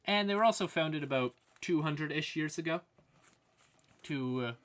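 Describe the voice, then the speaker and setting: A man giving an informal livestream explainer on fantasy lore, halting and wry, with casual asides to his chat. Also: a little high, lively intonation, a bit bright.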